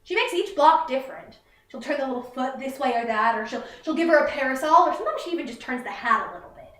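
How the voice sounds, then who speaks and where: A woman's voice: sits high, lively intonation, quick pace, fairly bright tone. A young woman playing a proud, plainspoken country girl who rambles in a folksy way in a theatrical monologue. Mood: proud, chatty. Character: proud, chatty country girl, doctor's daughter. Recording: roomy, echoing, far from the mic.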